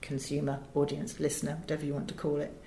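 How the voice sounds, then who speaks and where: British woman, low-pitched, in an echoey room. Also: monotone, fast, vocal fry, mellow, slight background noise. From England. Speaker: interview guest, audio director and producer. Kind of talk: animated conversational storytelling in an interview. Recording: roomy, echoing interview sound.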